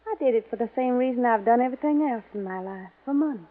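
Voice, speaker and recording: An actress playing an unhappy, money-hungry wife in a languid, flirtatious 1950s radio drama style. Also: female voice, a little high, quick pace, narrow, tinny audio, close to an everyday voice. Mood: resigned, pleading. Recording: band-limited old 1950s radio recording.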